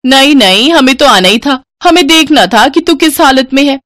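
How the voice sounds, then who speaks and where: Female narrator as spiteful rich sister in a cartoon fable: boastful, gloating, raised pitch. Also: animated, moderate pace, a bit bright, no room sound, clean studio sound. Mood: mocking, gloating. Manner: mocking, gloating. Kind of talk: animated moral story character acting.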